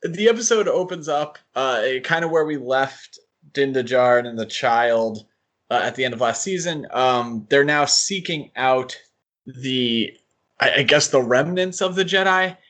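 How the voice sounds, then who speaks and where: Halting man co-hosting a casual podcast. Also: medium pitch, expressive, a bit bright. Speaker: podcast co-host.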